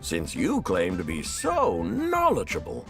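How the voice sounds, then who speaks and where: A man's voice: low-pitched, measured pace, soft background music, heavily acted. A man playing an earnest, self-dramatizing storyteller in a video game, theatrical and easily flustered. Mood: boastful, flustered. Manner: theatrical, expressive, self-deprecating. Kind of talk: video game character acting.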